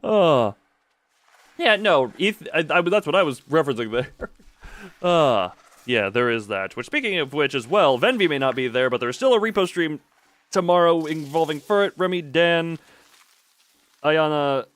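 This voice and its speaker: A man with a fairly high voice streams a video game and chats to his viewers. His pitch swings around in a lively way, and he talks in a rambling, expressive manner with the odd crude exclamation. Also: normal speed, long pauses. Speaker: live streamer. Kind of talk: casual gaming livestream chat.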